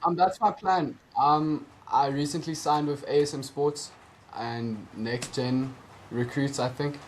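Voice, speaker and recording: A man's voice: medium pitch, steady tone, measured pace. A teenage man from South Africa with a South African accent, an Afrikaans native speaker, answering interview questions casually over a video call with lots of hesitant ums. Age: teens. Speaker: guest, junior tennis player.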